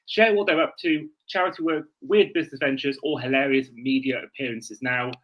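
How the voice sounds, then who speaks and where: A man's voice: medium pitch, steady tone, fairly quick, a bit bright, low-quality recording. A man co-hosting a sports podcast in casual, chatty, informal conversation.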